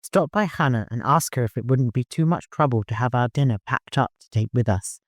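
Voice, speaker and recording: A man's voice: medium pitch, brisk, no room sound, studio quality, lightly acted. Man playing a courteous, bashful caravan leader. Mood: instructing. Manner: courteous, gently humorous, easily flustered.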